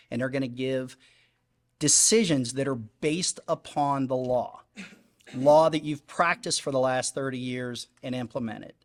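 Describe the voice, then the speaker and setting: Earnest candidate man, emphatic repeats. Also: medium pitch. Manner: earnest, emphatic, repetitive phrasing. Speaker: judicial candidate. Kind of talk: candidate forum statement. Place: public forum hall.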